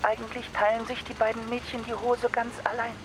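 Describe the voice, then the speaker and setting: A woman's voice: on the high side, level tone, fairly quick, noisy background, lightly acted. A woman playing a naive, polite young person called Baumann in a German radio drama. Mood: indignant, puzzled.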